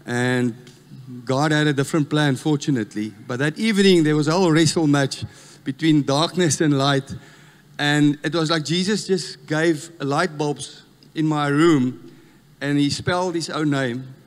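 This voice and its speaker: A man in his fifties with a South African Afrikaans accent, preaching a sermon and telling stories with lively, self-mocking humour. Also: fairly high pitch, measured pace. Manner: storytelling, animated, laughs at himself. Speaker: guest preacher. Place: church hall. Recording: long church reverb.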